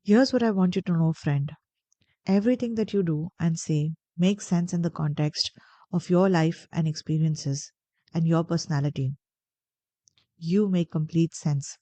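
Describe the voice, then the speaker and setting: Low, mellow woman guiding meditation. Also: no room sound, dull audio. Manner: gentle, deliberate, soothing phrasing with pauses. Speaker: meditation guide. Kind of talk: guided meditation narration.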